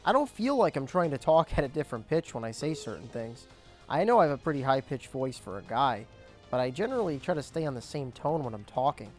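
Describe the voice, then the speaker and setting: A young man casually commentating his gameplay, explaining objectives as he goes, his voice cracking and squeaking now and then. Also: sing-song, brisk, soft background music. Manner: chatty, explains objectives as he plays. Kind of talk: casual let's play commentary.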